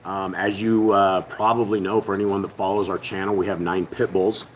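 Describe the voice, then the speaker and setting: A man hosting a family faith channel who speaks to viewers in a casual, earnest, rambling way, his voice hoarse and partly lost. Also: low voice, flat delivery, normal speed, slight background noise, poor audio. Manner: earnest, rambling, with many hesitations. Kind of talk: casual spoken address to viewers.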